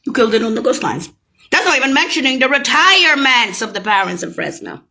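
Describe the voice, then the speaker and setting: A woman with a slightly high voice delivers a scattered, profane monologue. Her pitch leaps and dips widely from one phrase to the next, and she breaks into sudden shouts. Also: expressive, fast talker, vocal fry. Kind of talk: rambling solo monologue.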